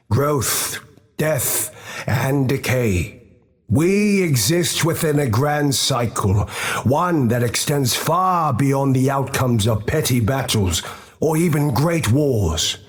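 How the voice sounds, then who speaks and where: A man playing a serene, philosophical forest tribesman from a fantasy game, teaching in a calm, contemplative way with a husky voice. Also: medium pitch. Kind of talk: scripted lore monologue for a fantasy game.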